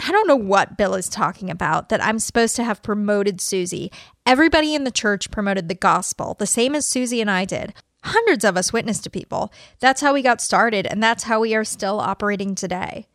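A woman's voice: medium pitch, expressive, a little fast, no echo, clean studio sound, lightly acted. A woman performing a dramatic reading as an aggrieved evangelist preacher, defiant and conspiratorial, with emphatic declarations. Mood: defensive. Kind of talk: dramatic reading of a religious tract.

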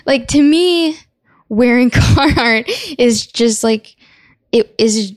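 A woman's voice: fairly high pitch, slow, dry recording, clean studio sound. A woman in her twenties from the southern US, a musician guest who chats casually, laughs easily and wanders off on tangents. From Southern US, Tennessee. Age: 20s. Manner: laughing, tangent-prone, self-deprecating. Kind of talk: casual interview chat.

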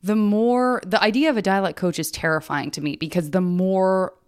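A woman's voice: mid-range voice, fairly even intonation, clean tone. Playful American woman hosting a podcast. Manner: playful, joking, quick to laugh. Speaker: podcast host. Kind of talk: casual chat.

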